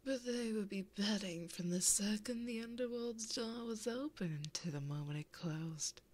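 A woman voicing a flirty, possessive, taunting demon in a breathy whisper for an ASMR roleplay, speaking intimately close to a binaural microphone. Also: fairly low pitch, exaggerated voice. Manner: slow, teasing, intimate.

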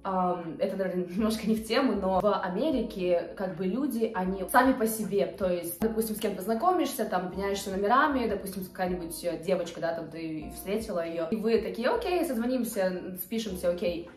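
A woman's voice: medium pitch, animated, a little fast, hardly any pauses. A woman of twenty-eight talking casually to the camera from her living room couch, hesitant and full of fillers. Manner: chatty, hesitant with many fillers, gestures with hands. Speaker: vlogger. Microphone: camera a few feet away. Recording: roomy sound with faint background music.

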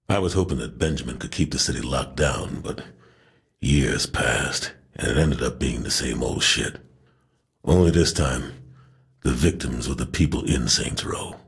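An actor playing a weary, regretful ex-gang leader in middle age, speaking gravely and slowly in a game audio log. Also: male voice, full character voice. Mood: somber. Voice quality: very deep, gravelly, husky.

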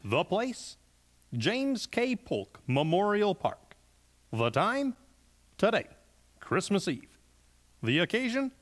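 A man's voice: high-pitched, big pitch swings, slow, pauses often, full character voice. A man playing a grandly earnest puppet sports reporter, with dramatic announcer phrasing in a mock newscast. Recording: long hall reverb, music behind.

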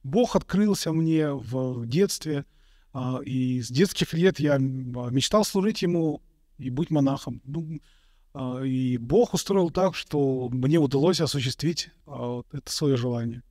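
A man in his thirties, an Orthodox monk from Kazakhstan, answering interview questions slowly and thoughtfully, with many pauses, into a studio mic. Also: medium pitch, a little mellow, no room sound. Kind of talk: calm reflective interview answers. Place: bright indoor room, interview set. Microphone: studio mic on a boom in front of him.

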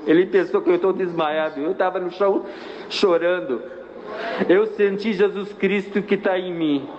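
A middle-aged man from Iraq, giving an earnest personal religious testimony in halting, phrasing. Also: high-pitched, a crowd in the background. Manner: earnest, halting non-native Portuguese. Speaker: church member giving testimony. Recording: dull, reverberant with faint noise.

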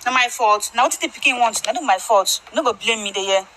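A man's voice: very high-pitched, fast, sharp tone, pitched above the performer's own voice, rough recording. A man voicing a defiant pregnant young wife in a comedy skit, speaking Nigerian Pidgin in an insistent, dismissive way. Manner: insistent, dismissive, repeating herself.

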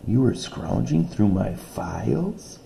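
A man's voice: fairly low pitch, very animated, slow pace, creaky, dark tone, slight background noise, pitched above the performer's own voice, exaggerated voice. A man voicing a lonely, polite male librarian in a storybook read-aloud, speaking mildly and asking questions. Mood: startled.

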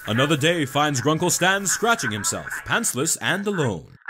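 A man's voice: fairly high pitch, big pitch swings, moderate pace, heavy background noise. A man playing a pompous comic-book narrator with a melodramatic storytelling delivery. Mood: mocking, dramatic. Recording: loud music behind the voice.

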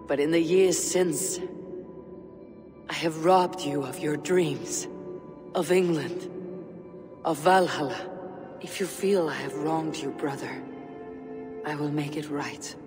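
An actor playing a weary Viking warrior haunted by a vision, speaking solemnly and reflectively. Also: male voice, a little high, takes it slowly, long pauses. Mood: remorseful. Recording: reverberant game mix with music.

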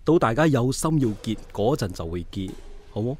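A man's voice: mid-range voice, lively intonation, normal speed, darkish tone, slight background noise, lightly acted. An actor playing an easygoing, free-thinking man near forty in a radio drama. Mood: relaxed, assured.

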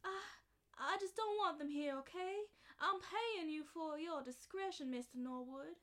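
A woman's voice: high voice, unhurried, fairly bright tone. A woman playing an anxious, secretive and polite client in a noir radio drama, with a fretful manner. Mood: nervous. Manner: fretful, breaking into pleading.

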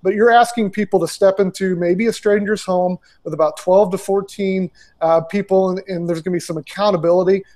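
A man in his forties speaks through earbuds on a video call from a small room. His voice sits a little high for a man. He answers in a loose, conversational way, full of fillers and restarts. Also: fairly even intonation, brisk, darkish tone. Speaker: ministry consultant. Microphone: earbud headset on video call.

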